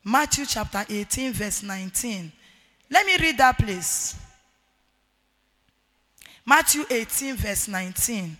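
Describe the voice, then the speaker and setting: A middle-aged woman with a Nigerian accent and a loud, forceful voice, preaching a marriage sermon emphatically and repeating key phrases. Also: fairly high pitch, expressive, takes it slowly, pauses often. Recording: echoey hall with long reverb.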